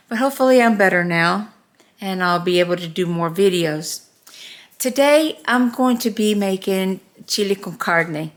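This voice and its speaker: A middle-aged woman hosting a casual home cooking video, talking in an unhurried, homey way while she cooks, with frequent fillers. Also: mid-range voice. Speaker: cooking channel host. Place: home kitchen. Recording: home kitchen with sizzling pan. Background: frying and sizzling.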